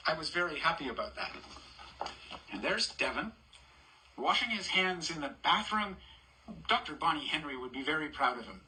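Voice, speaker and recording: An older man reads a children's picture book aloud at home. His voice sits a little high and rings very bright. He chats between pages, asking the young listeners questions and pointing things out. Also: very animated, normal speed, pauses often, rough recording. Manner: warm, chatty, stops to ask children questions and point at pictures. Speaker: storybook reader.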